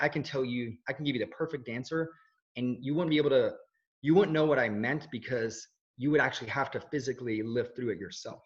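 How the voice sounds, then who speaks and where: A man in his thirties, raised in Switzerland, with no accent, speaking as an interviewee in an earnest, rambling way full of restarts and fillers. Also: medium pitch. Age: 30s. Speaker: interviewee, video journalist. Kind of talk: casual conversational interview. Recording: dull lo-fi audio.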